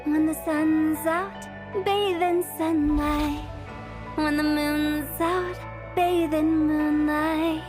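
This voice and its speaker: A high, girlish young woman's voice for a video game, cheerful and quirky. She talks in an easygoing, expressive, slangy way at a slow pace, with music clearly behind her. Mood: dreamy. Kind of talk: scripted video game voice acting. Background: soft game music.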